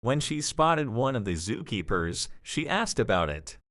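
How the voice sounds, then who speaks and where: A man narrates a scripted audio drama story. His voice sits at a medium pitch and moves with lively, wide intonation, recorded close and dry. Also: unhurried, dry recording.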